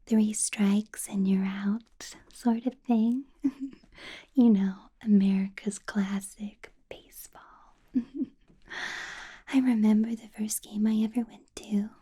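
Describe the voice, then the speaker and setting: A woman voicing a possessive ancient female vampire boss in a whispered ASMR roleplay, soft and doting with a quiet menace, close to the microphone. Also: mid-range voice, steady tone, slow pace, breathy edge. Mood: sweetly menacing.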